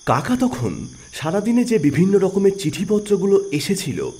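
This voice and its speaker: A man narrating an audio story in an expressive storytelling manner. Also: high voice, normal speed, slightly rough. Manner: expressive, rising and falling storytelling.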